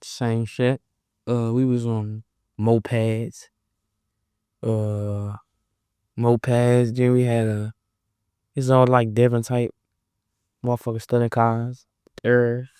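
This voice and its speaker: A man's voice: mid-range voice, flat delivery, slow, pauses often, mellow, clear, resonant tone, dry recording, studio quality. A man from Southeast Washington DC with a DC accent, talking laid-back and slangy as a guest on an interview podcast. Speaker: rapper, guest. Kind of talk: casual interview chat.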